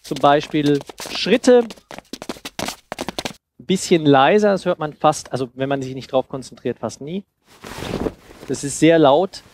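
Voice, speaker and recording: A man's voice: on the high side, a little slow, long pauses, darkish tone, a little hiss or hum. A man in his thirties speaking German in an informal, explanatory lecture style, conversational, on a headset mic. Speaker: festival speaker, sound designer. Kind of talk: informal lecture with audience questions. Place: indoor festival stage with couch.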